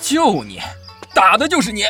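Woman as gruff, animated protective brother. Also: mid-range voice, moderate pace, music in the background. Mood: angry. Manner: gruff, commanding. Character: protective, gruff older brother in ancient China. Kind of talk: period audio drama acting.

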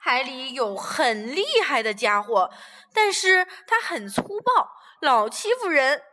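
A woman voicing a gentle, kind and lonely female sea reptile in a children's audio story, speaking softly and kindly. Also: high voice, big pitch swings, slow pace, heavily acted. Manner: soft, kindly, gently questioning. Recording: reverberant room with music underneath.

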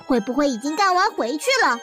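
A high, girlish voice with music behind it: a small, chatty schoolgirl of about ten. The delivery is radio drama dialogue. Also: lively intonation, quick pace, bright, forceful tone. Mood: curious, unsure.

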